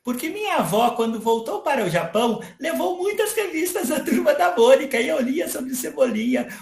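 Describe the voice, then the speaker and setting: A man voicing a friendly virtual young male AI character, explaining calmly to an audience. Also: very high-pitched, fairly quick, reverberant, exaggerated voice. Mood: earnest. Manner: calm explanatory, addresses audience as "pessoal". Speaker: interviewee.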